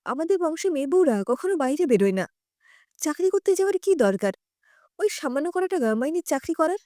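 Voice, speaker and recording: A woman's voice: sits high, sing-song, fairly quick, mellow, no room sound, clean studio sound. A woman voicing a harsh, sarcastic, domineering older father-in-law in an audio story. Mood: scornful, forbidding. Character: gruff, domineering older Bengali father-in-law, scornful patriarch.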